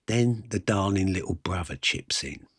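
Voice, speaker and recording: A man with a husky voice performing a wry, self-mocking rock and roll fan's conversational monologue about his youth. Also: low voice, animated, normal speed, no echo, studio quality. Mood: indignant, wry. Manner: colloquial, self-mocking, reminiscing. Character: Johnny, wry music-mad eighteen-year-old English rock and roll poser. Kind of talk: first-person dramatic monologue, conversational storytelling.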